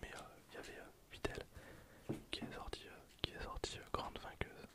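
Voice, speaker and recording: A person whispering softly in a casual, hesitant way while commenting on their own gameplay for ASMR. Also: brisk. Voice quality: soft unvoiced whisper. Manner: casual, hesitant, thinking aloud while playing. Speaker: ASMR gaming video creator.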